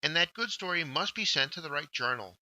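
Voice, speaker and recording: A man presenting a scripted instructional workshop, with deliberate, emphatic phrasing. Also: on the high side, lively intonation, pushed and bright, dry recording, rough recording. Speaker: workshop presenter, journal editor.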